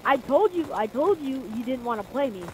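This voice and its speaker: A man's voice: extremely high pitch, expressive, darkish tone. An eleven-year-old boy casually chatting and teasing his opponent while playing a shooter game. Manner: fast, teasing trash talk. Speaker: gamer and channel host. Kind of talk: casual gaming chat. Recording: noisy home mic over game sounds.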